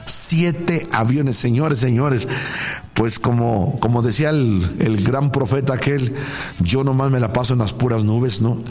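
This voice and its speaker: A Mexican man with a mid-pitched voice hosts a live devotional broadcast as a pastor. He talks casually and devoutly to his listeners, effusive with greetings and blessings. He often doubles words and phrases for emphasis. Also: unhurried, darkish tone. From Puebla, Mexico.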